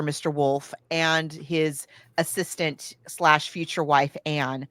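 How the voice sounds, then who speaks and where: A woman with a slightly low voice talks through a film in a casual podcast style. She is recorded dry, with little room sound. Her delivery is halting, circling back and thinking aloud as she retells the story. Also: no room sound. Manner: acts out characters' lines in casual asides. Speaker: podcast co-host. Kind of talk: casual film recap chat.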